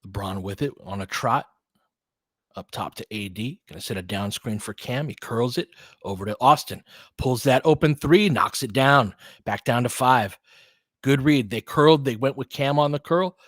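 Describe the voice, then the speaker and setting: Male fan commentator, mid-pitched, dry recording. Clipped live hoops play-by-play, openly partisan, slangy. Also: moderate pace, fairly bright tone, no room sound.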